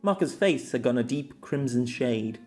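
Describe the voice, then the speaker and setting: A man's voice: mid-range voice, expressive, moderate pace, darkish tone, faint music behind. A man reading scripted story narration as an amateur audiobook narrator.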